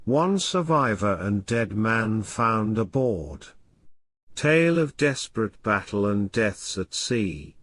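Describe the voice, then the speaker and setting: A man reading a classic horror story aloud as scripted narration, in a measured, formal, literary manner. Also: lowish voice, sing-song, fairly bright tone. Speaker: audiobook reader.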